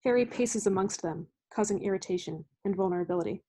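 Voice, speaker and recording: A woman's voice: mid-range voice, fast talker, mellow. A woman reading stage directions as scripted narration for a play.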